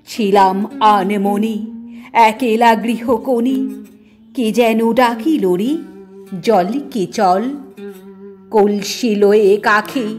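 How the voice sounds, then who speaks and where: A woman reciting a poem expressively, in measured, lingering short phrases with deliberate pauses. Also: mid-range voice. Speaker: poetry reciter. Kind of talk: expressive Bengali poetry recitation.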